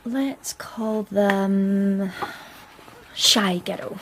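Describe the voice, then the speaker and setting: A woman's voice: mid-range voice, fairly even intonation, very slow, noisy background. An actress playing a painfully shy, wry unemployed woman in a radio play, hesitant and self-deprecating. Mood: wistful, whispery. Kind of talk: radio play acting, dialogue and inner monologue.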